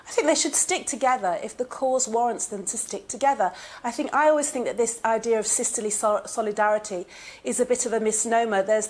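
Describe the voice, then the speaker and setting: A woman's voice: on the high side, a little mellow. A woman journalist and broadcaster joining a TV debate from a remote studio, arguing her view articulately and assertively. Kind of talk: live TV debate.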